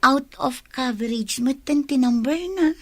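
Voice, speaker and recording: High-voiced, earnest young woman. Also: unhurried, no room sound, clean studio sound. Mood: hopeful, uneasy. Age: young adult. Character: trusting, lovestruck young daughter, soft and earnest. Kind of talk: radio drama acting.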